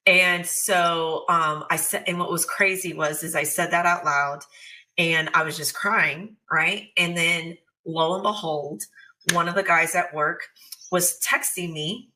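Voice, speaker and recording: A woman talking casually and candidly about personal experience, telling it as an animated story and voicing her inner dialogue. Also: lowish voice, unhurried, poor audio. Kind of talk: casual, confessional conversation.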